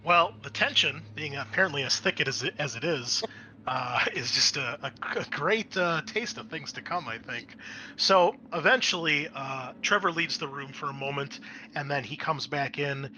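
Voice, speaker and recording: A man narrating a tabletop game as game master in a casual, improvised way, often halting to think aloud. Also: a little high, bright, forceful tone, poor audio. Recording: clear background noise.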